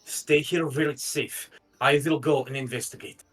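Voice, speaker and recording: A man voicing a stern, protective hobgoblin warrior in a put-on accent somewhere between Romanian and French, speaking slowly and formally. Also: mid-range voice, steady tone, fairly bright tone, natural voice. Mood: reassuring. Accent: put-on Eastern European accent, drifting French. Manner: slow, formal, solemn.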